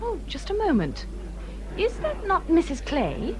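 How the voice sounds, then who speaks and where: A woman's voice: extremely high pitch, wildly swooping pitch, a little slow, a crowd in the background, heavily acted. An actress playing a peevish, self-pitying married English lady in a period radio drama, querulous and fretful. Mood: excited, insistent.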